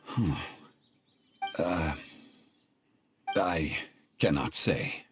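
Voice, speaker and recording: An actor playing a calm, weary ancient god in human form for a video game, speaking in a measured, formal and grave manner. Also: male voice, sits low, very noisy. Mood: reserved, regretful. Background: music behind the voice.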